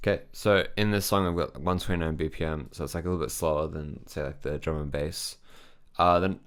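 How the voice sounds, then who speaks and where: A man's voice: a bass voice, fairly even intonation, fairly quick. A young man, a music producer, casually explaining his synth sound design, thinking aloud with lots of fillers. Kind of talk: casual software tutorial.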